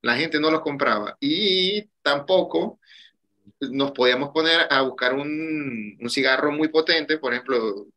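Bright-voiced man chatting slowly on a video call. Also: a little high. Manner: unhurried, drawn-out phrases with many fillers. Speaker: cigar shop owner. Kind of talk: casual video call chat. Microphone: webcam on a video call.

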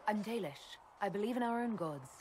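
A woman acts a young elf heroine in a fantasy game. She sounds level-headed and inquisitive at a middling pitch. There is faint background noise. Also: lively intonation, brisk, darkish tone, natural voice. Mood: candid. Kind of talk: video game dialogue acting.

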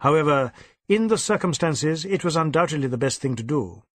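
A man voicing a brisk, proper older Indian father in an audiobook, with a clipped, old-fashioned, matter-of-fact way of talking. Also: on the high side, lively intonation, a bit bright, dry recording.

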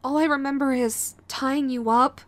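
A woman's voice: high-pitched, fairly even intonation, normal speed, a bit bright. A woman playing a young, sweet, clingy yandere girlfriend in an intimate audio roleplay, with a cutesy, hesitant manner. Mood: remorseful, hesitant. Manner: cutesy, hesitant, gushing pet names. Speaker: voice actress.

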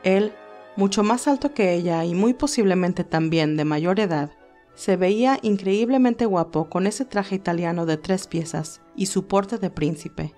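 A woman's voice: mid-range voice, darkish tone. A woman reading scripted story narration in Spanish, telling a tale. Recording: music behind the voice. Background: classical music.

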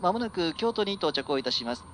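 A male train conductor rattles through routine announcements at a brisk pace. His voice is high for a man, with a light rumble of the train behind it. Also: very animated, fairly bright tone. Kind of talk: live formulaic train announcement. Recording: train PA with vehicle noise.

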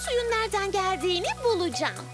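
A woman's voice: very high voice, sing-song, a little fast, loud music behind the voice. A woman voicing an earnest, determined eight-year-old girl heroine in a children's cartoon dub.

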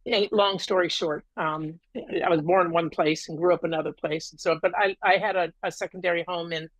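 A woman's voice: fairly low pitch, fairly even intonation, brisk, no room sound, low-quality recording. A middle-aged woman, a guest on a video call, telling her story at length with many ums and uhs. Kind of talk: casual interview. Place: room at home, green wall.

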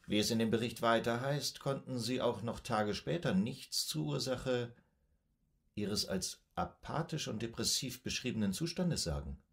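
An actor playing a composed, probing male psychoanalyst in a radio drama, formal, measured and clinical in manner. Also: medium pitch, animated, creaky, fairly bright tone. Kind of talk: German radio drama acting.

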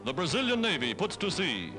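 High-pitched American 1940s newsreel man. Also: wildly swooping pitch, fairly quick. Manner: declamatory, formal. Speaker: newsreel narrator. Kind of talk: 1940s newsreel scripted narration. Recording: old 1940s newsreel soundtrack, loud music. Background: loud newsreel music.

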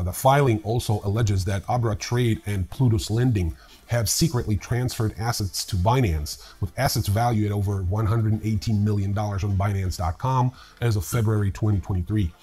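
Low, husky, mellow man presenting news. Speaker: host of a cryptocurrency news video series. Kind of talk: scripted news commentary with personal asides. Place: small indoor room lined with bookshelves.

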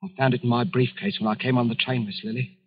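A man's voice: medium pitch, fairly even intonation, fast, slightly rough, natural voice. An actor playing a meek, troubled travelling salesman accused of murder in an old radio drama, hesitant, polite and brooding. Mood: brooding, menacing. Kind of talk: 1940s radio drama acting. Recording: muffled old radio recording.

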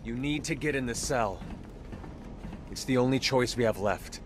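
Man as a determined young sidekick, medium pitch. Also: moderate pace, noisy background, close to an everyday voice. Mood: urgent. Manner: plain, urgent, resolute. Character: earnest, determined young male masked sidekick. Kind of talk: video game hero acting.

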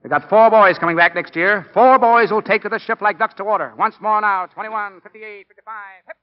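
An actor playing a driven, commanding college football coach in a brisk, urgent 1940s radio style. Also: male voice, high-pitched, lightly acted. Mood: urgent, drilling. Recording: muffled old 1940s radio recording.

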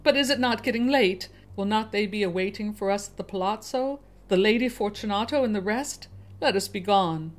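A woman's voice: mid-range voice, fairly quick, voice pushed up, lightly acted. A woman voicing a trapped, drunken nobleman with sad, forced merriment and pleading in a gothic dramatic reading. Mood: sad false cheer. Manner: sad, forced laughter, rising pleas. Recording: music under the voice.

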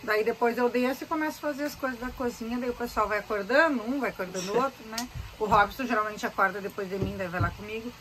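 A woman's voice: a little high, quick pace, few pauses, slight background noise, poor audio. A woman, chatting to camera in a boat cabin. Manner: chatty, animated, gestures while talking. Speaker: vlogger. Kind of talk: casual chat to camera. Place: small cabin of a sailboat, indoors. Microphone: handheld phone camera at arm's length.

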